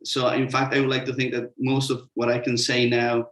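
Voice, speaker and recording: A man's voice: mid-range voice, monotone, moderate pace, fairly bright tone. A man from Mexico with a Mexican accent, a Spanish speaker giving a hesitant, filler-heavy talk as a panelist in an online webinar. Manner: hesitant, many "uh" fillers, explanatory. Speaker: webinar panelist, sustainable construction consultant. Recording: echoey room.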